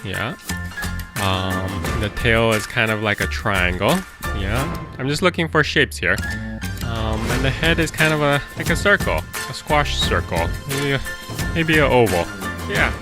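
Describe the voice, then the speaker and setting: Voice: medium pitch, lively intonation, unhurried, over loud music. A storyteller and drawing teacher talking to children in an informal way, often thinking aloud.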